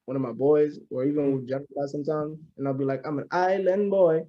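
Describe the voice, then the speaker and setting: A man's voice: a little high, steady tone, a little fast, dull audio. A man in his early twenties, a musician guest on a podcast, talking in a loose, slangy way and often adding "you know what I'm saying.". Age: 20s. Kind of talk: casual interview chat.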